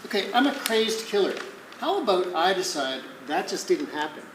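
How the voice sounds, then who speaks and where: A woman's voice: lowish voice, sing-song, normal speed. A woman playing a reluctant, exasperated actor in an amateur stage comedy, protesting in disbelief and dreading ridicule. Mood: baffled. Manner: protesting, incredulous. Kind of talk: live amateur one-act stage comedy. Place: indoor theatre stage. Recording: echoey, noisy live theatre recording.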